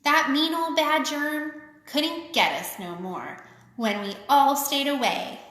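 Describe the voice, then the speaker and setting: A woman reading a picture book aloud to children, with expressive, simple phrasing and chatty asides. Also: high voice, takes it slowly. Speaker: children's author reading her own book. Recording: echoey, reverberant room.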